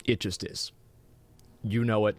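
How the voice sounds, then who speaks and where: A man's voice: lowish voice, steady tone, unhurried, faint music behind. A man streaming a game live, rambling in casual, profane chat with many restarts.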